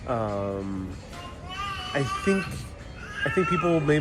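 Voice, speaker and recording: A man's voice: mid-range voice, poor audio. A man from New York City hosting a casual live travel Q&A, reading viewer comments aloud and answering in an easygoing, joking way. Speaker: travel livestream host. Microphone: phone livestream mic. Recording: crowd noise around a phone stream. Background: crowd chatter.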